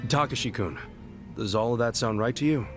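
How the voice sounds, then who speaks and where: An actor voicing a street-smart, skeptical sidekick in a video game, casual, blunt and wry. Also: male voice, medium pitch, a little fast, music in the background, lightly acted. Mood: wry, challenging. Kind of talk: video game cutscene dialogue.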